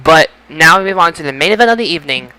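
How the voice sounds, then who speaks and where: A man's voice: on the high side, animated, very fast, sharp tone, low-quality recording. A man hosting a casual wrestling results podcast, often stammering and repeating his phrases. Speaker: podcast host.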